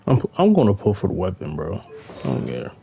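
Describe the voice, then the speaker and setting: A man's voice: deep voice, huge pitch swings, brisk, gravelly, mellow, slight background noise. A young man giving casual gaming commentary in a slangy, sweary way. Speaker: game streamer.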